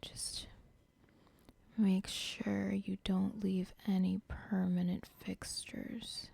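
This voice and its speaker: A woman's voice: medium pitch, flat delivery, very slow, gravelly, dark tone, breathy edge, no echo, full character voice. A woman whispering an ASMR roleplay as a cold, controlling female cartel boss who talks in a menacing, dismissive way. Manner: whispering throughout.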